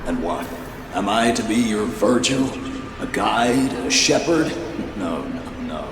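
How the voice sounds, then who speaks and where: Echoing, slow, mocking male villain. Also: fairly low pitch, lively intonation. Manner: grandiose, poetic, taunting, drawn out. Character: mocking, theatrical villain haunting a cursed realm. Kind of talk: dramatic video game villain monologue. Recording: echoing voice over noisy ambience.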